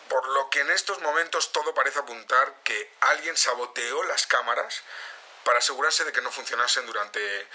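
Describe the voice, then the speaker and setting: An actor playing an earnest, stern security chief giving an official, deliberate press conference statement in an audio drama. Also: male voice, mid-range voice, bright, forceful tone, breathy edge. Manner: official, deliberate, repeating phrases as he announces. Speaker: head of security.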